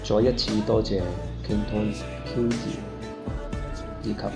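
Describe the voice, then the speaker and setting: A man's voice: on the low side, flat delivery, slow. A man from Malaysia, an art teacher and clay artist, reading a prepared piece. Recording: roomy echo with music behind. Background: instrumental music.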